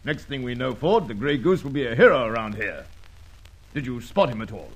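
Seeking a blustering, exasperated police chief in a radio serial, husky with swooping intonation. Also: male voice, medium pitch, sing-song, a little fast, poor audio. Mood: exasperated, suspicious. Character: gruff, exasperated senior police detective, grudging and indignant. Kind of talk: 1950s-60s radio serial acting.

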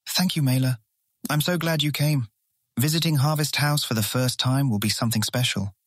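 An older guard, played by a male actor in a fantasy audiobook drama. He is courteous and hopeful, plainly smitten, speaking at a middling pitch in a dry, close recording. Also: normal speed, fairly bright tone, no room sound, lightly acted.

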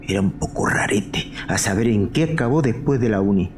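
Actor plays easygoing football-loving guy, Castilian accent, lowish pitch with lively swings, conciliatory, audio drama. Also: a little fast, lightly acted. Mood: good-natured. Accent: Castilian Spanish. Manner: casual, conciliatory. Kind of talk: live-recorded Spanish audio fiction. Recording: noticeable background noise, live recording.

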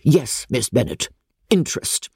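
A woman's voice: very deep voice, big pitch swings, measured pace, vocal fry, a little mellow, husky, dry recording, clean studio sound, voice pushed down, heavily acted. A woman reading a classic novel aloud, voicing an imperious, indignant older aristocratic lady with pompous, emphatic delivery. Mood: threatening. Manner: pompous, declamatory, emphatic, formal. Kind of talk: dramatic audiobook reading of classic literature.

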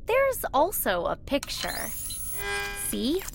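A woman's voice: high voice, wildly swooping pitch, takes it slowly, gravelly, music in the background, exaggerated voice. A woman voicing a perky, literal-minded ship's computer assistant in an audio comedy, chirpy and precise as she explains. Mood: matter-of-fact.

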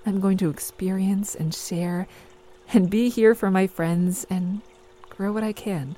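A woman's voice: medium pitch, unhurried, mellow, natural voice. A woman playing a reflective, resolute moon base crew member in an audio drama monologue.

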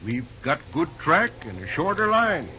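A folksy old railroad boss. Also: male voice, a little high, very animated, normal speed, bright, forceful tone. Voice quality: rural drawl, says ain't and reckon. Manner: chatty, easygoing, repeats words. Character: folksy older male railroad manager, fatherly. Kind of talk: 1930s radio acting. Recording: dull old radio transcription with faint hiss.

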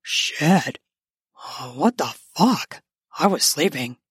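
A woman playing a grumpy, dominant young man roused from sleep. His voice is husky and growling, commanding even when groggy. It sits close and dry. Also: deep voice, slow, dry recording, voice pushed down, heavily acted.

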